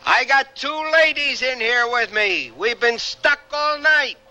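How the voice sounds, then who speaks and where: An actor playing a rough-edged working-class man, blunt, slangy and kindly under it, in a radio drama. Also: very high voice, slow, a crowd in the background. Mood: shouting for help. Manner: blunt, slangy, stammering when sincere.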